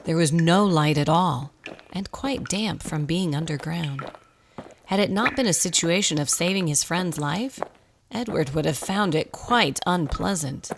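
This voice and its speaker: A woman's voice: on the low side, background noise. A woman narrating a radio drama in a scripted storybook style.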